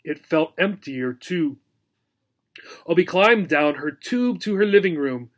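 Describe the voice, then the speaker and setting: A man narrating a children's story as an audiobook reader, in an expressive storytelling manner. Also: fairly high pitch, slow pace.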